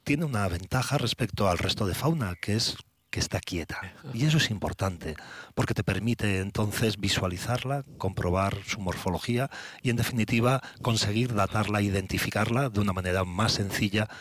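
A man, a naturalist guest, explaining things in a measured, informative way. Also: on the low side, a bit bright. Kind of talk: guest in conversation.

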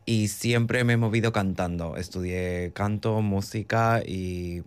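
A man from Peru, a drag performer telling his story in a lively way. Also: fairly low pitch, slow, clear, resonant tone. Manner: lively storytelling with acted quotes.

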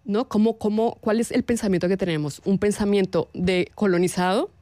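A woman with a Colombian accent, speaking as a guest in a radio interview and talking thoughtfully, with pauses and fillers. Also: mid-range voice, fairly even intonation, dark tone, dry recording, clean studio sound. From Latin America.

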